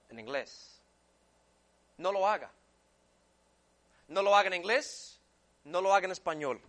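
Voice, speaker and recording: High-voiced male preacher, native English speaker. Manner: emphatic, with repetition and swings from hushed to forceful. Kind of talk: sermon with scripture reading.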